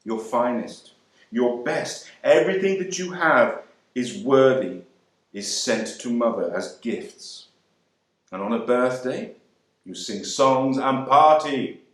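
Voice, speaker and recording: An actor brings to life a proud young airman with a wry, measured way of talking. He speaks slowly, with deliberate pauses, at an ordinary mid pitch. The voice rings out in a very echoey room. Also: lively intonation. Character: young Jamaican man serving in the air force, proud and wry. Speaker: actor reading a monologue. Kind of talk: dramatic monologue reading.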